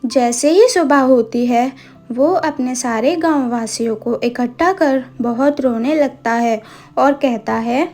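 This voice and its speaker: A woman's voice: high-pitched, slow, a little mellow, faint music behind. A woman narrating a scripted cartoon story as the storyteller.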